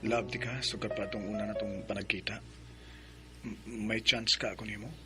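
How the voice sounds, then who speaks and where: A man playing a gentle, earnest young man courting a woman in a radio drama. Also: lowish voice, little pitch movement, normal speed, lightly acted. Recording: radio drama with music bed.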